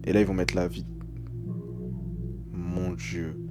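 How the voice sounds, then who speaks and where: A man's voice: deep voice, flat delivery, takes it slowly, over music. A young man speaking as a streamer in casual live reaction, translating text aloud with slangy, hesitant, uneasy commentary.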